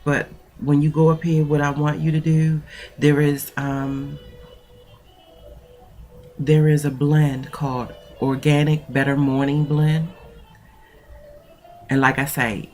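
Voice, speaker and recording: A woman's voice: deep voice, a little mellow, a little hiss or hum. Stuffy-nosed woman coach, chatty. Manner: chatty, rambling with fillers. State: stuffy nose. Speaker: mentoring coach. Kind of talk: casual talk and reading aloud.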